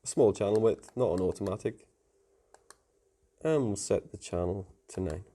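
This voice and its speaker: A man's voice: on the low side, normal speed, dark tone. A man giving a casual technical tutorial in an informal, plain-spoken, conversational way. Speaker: technical presenter explaining network setup.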